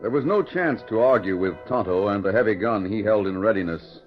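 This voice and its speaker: A man's voice: lowish voice, brisk. A man narrating a 1940s radio drama in a scripted announcer style. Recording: dull vintage radio recording with music. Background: music.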